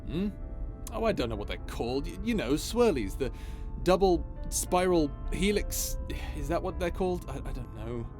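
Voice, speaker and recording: A man's voice: a little high, big pitch swings, normal speed, music in the background. A man playing a cocky spaceship captain in a scripted audio roleplay, injured but affectionate, with a playful, teasing manner. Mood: playful, explaining. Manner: playful, teasing, hesitant asides.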